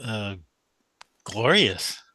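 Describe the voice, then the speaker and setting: A man's voice: fairly low pitch, sing-song, drawn-out words, sharp tone, no echo, exaggerated voice. A man acting in a radio drama as a polite, earnest young mathematician, naive and hesitant. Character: polite, earnest young male mathematician new to the big city.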